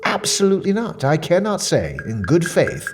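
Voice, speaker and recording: A man's voice: a little high, huge pitch swings, over music. Pompous, wildly swooping male mystery shopper. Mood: disdainful. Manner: pompous, precise, deliberate, dwelling on words. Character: fussy, fixated male mystery shopper, self-important and quietly menacing. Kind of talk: dramatic monologue as a spoken questionnaire report.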